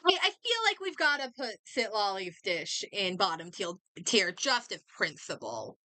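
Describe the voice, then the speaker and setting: A woman's voice: mid-range voice, very animated, a little slow, bright, forceful tone, no echo. A woman game streamer chatting casually as she plays, muttering and thinking aloud to herself. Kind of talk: casual livestream chat while playing a game.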